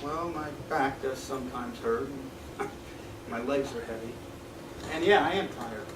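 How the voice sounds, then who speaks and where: A man playing a weary, nostalgic man in his fifties, speaking deliberately and reflectively in a theatrical stage performance. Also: mid-range voice, natural voice. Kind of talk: theatrical stage monologue and dialogue. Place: small indoor theatre stage. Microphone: far camera. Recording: echoey theatre stage, distant noisy camera mic.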